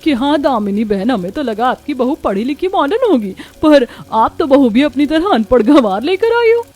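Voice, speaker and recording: A woman's voice: high voice, sing-song, moderate pace, a little mellow. A woman voicing a haughty, scornful rich modern neighbour in a cartoon, snobbish and mocking in manner.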